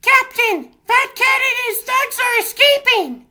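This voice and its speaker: A man's voice: very high voice, animated, measured pace, sharp tone, pitched above the performer's own voice, full character voice. A man voicing a haughty, theatrical female cat sorceress with an affected manner, in a comic dub. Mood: alarmed.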